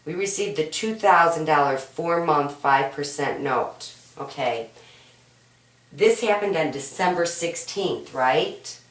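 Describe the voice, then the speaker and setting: A woman with a low, hoarse, raspy voice from a cold walks through homework problems one step at a time. She is patient and keeps checking in with "right?". The recording sounds roomy and distant, as if picked up from across the room. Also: animated, takes it slowly. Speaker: accounting instructor. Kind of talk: homework walkthrough lesson. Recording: roomy echo, mic at a distance.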